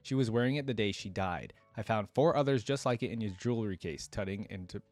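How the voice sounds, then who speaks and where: A man's voice: on the low side, a little fast, a little mellow, no room sound. Man casually reacting, music behind his close voice. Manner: casual, enthusiastic, slangy. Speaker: reaction video host. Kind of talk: casual reaction commentary and story read-aloud.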